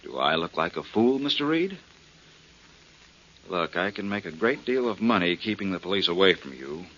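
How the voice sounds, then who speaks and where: A man's voice: low voice, big pitch swings, a bit bright, slight background noise. A man playing a shrewd doctor who poses as an insinuating blackmailer in a 1940s radio crime drama. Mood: sly, insinuating. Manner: insinuating, deliberate bargaining. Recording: dull lo-fi 1940s radio recording.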